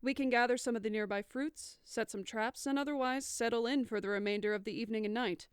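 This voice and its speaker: Smooth, clear woman as a wood elf. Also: a little high, quick pace, no room sound, clean studio sound, natural voice. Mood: practical, caring. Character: practical, caring female wood elf hunter. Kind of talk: audio drama acting.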